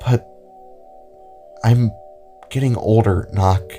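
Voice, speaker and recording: A man's voice: fairly low pitch, slow, warm tone. Young heroine played by a man, hopeful, heartfelt, hesitant audio-drama delivery with a music bed. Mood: earnest, pleading. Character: earnest, idealistic young woman with powers. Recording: music under the voice.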